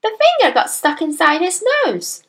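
A clever little storybook girl played by a woman, all cheeky politeness and false innocence. Her voice is very high and lilts up and down in a lively way. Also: normal speed, full character voice. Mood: innocent storytelling. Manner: mock-innocent, matter-of-fact.

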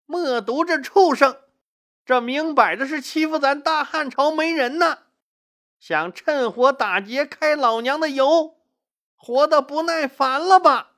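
A storyteller voicing a furious, imperious middle-aged empress dowager, loud, indignant and coarse-tongued. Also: high voice, measured pace, pitched above the performer's own voice.

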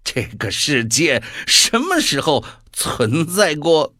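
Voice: mid-range voice, sing-song, takes it slowly, pushed and bright, dry recording, studio quality, exaggerated voice. An actor playing a mocking devil in a radio drama, gleefully taunting with theatrical delivery. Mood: scornful. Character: mocking devil gleefully wagering on a world's fate.

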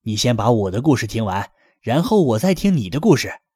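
A man voicing an eager, polite rabbit father in a bedtime story, asking quickly and earnestly. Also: mid-range voice, sing-song, mellow, slightly rough, no room sound, clean studio sound.

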